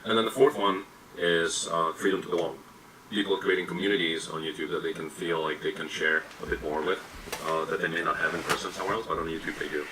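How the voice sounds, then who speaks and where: A man's voice: low-pitched, fairly even intonation, fast talker, noisy background. A man, an executive in an interview, gives reassuring answers full of hesitations and fillers. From Czechoslovakia. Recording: distant mic with faint ambience.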